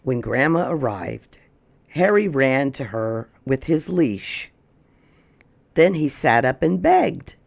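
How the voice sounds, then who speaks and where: Slow, animated storyteller with a creaky edge. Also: medium pitch. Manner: expressive, slow, drawn-out emphasis. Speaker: storyteller reading a picture book aloud. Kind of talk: animated children's read-aloud.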